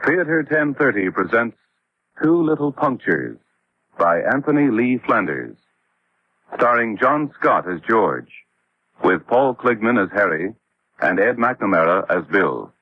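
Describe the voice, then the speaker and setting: A man's voice: on the low side, very animated, measured pace. Swooping old-time male radio announcer. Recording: dull old radio recording.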